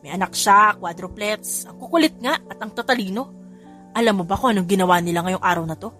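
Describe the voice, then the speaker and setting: A woman's voice: medium pitch, fairly quick, fairly bright tone, soft background music, close to an everyday voice. A woman voicing an exhausted young secretary who grumbles about the boss's children. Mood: weary. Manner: weary, grumbling.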